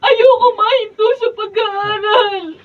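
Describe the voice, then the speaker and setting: A fearful young student in a radio drama, a distraught daughter with an extremely high voice. The sound is thin and picked up from far off. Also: female voice, fairly even intonation, slow, soft background music. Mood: tearful, fearful. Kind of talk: Filipino radio drama acting. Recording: distant, phone-like studio camera audio.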